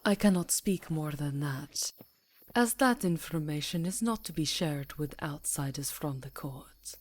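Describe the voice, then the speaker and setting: A woman voicing a haughty, elegant vampire countess in a hushed, theatrical ASMR roleplay. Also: deep voice. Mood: sly, composed. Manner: hushed, breathy, theatrical, slow and deliberate.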